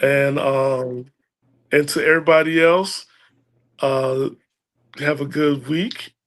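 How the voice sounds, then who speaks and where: A man's voice: medium pitch, steady tone, slow, fairly bright tone. A man hosting a casual podcast chat, joking and laughing often. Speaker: podcast host.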